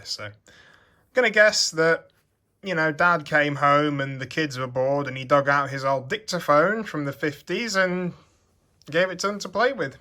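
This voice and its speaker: Bright-toned man demoing old gadgets. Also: on the high side. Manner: chatty, explanatory, thinking aloud. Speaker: vintage electronics hobbyist. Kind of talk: casual hands-on product demonstration. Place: home workbench.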